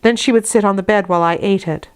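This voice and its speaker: A woman reading a classic novel aloud as an audiobook, in a measured, deliberate storytelling manner. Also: mid-range voice, clear, resonant tone. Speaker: audiobook reader. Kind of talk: scripted narration of a classic novel, read aloud.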